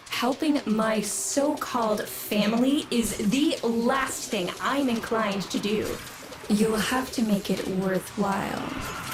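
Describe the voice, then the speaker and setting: Sardonic, high-voiced scientist played by a woman. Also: noisy background, lightly acted. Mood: bargaining, dismissive. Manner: wry, condescending, dismissive. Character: jaded, sardonic female scientist, scornful estranged daughter. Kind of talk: scripted video game character acting.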